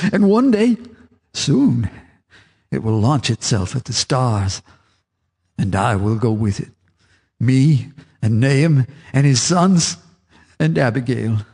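A husky, haunted old farmer. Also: male voice, medium pitch, a little mellow, long reverb tail. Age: older. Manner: confiding, eerie monologue. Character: old male farmer haunted and half-mad. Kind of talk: radio drama acting.

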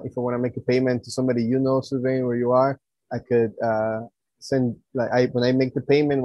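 Mellow man on a dull video call. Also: medium pitch, no echo. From Guatemala. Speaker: interview guest, project coordinator. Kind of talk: explanatory conversation. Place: small room indoors, video call.

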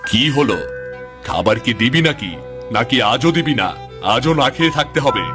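A man's voice: mid-range voice, animated, normal speed, over music, lightly acted. A man playing a hapless, henpecked poor village farmer in a cartoon, with a plaintive, folksy way of talking. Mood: grumbling. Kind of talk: cartoon voice acting.

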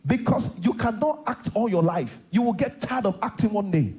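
A middle-aged Nigerian pastor preaches from a big church stage, his voice pitched fairly high yet warm and mellow in tone. He hammers home phrases by repeating them and tosses questions out to the crowd for a reply, heard through a close headset mic. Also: lively intonation, normal speed. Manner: repetitive, call-and-response preaching. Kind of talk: sermon.

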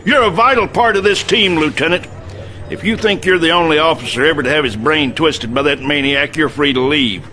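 A hearty older American army commander for a game cutscene. His voice is forceful and pitched a little high, with gruff, homespun emphasis. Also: fairly quick. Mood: gruff, stern. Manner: folksy, emphatic. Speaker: military general.

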